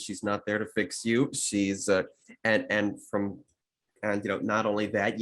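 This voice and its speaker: A man talking casually on a panel as a producer and voice actor, in a halting way with repeated restarts. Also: on the low side. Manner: halting, with repeated false starts. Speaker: producer and voice actor on an audio drama. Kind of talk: casual retrospective panel chat.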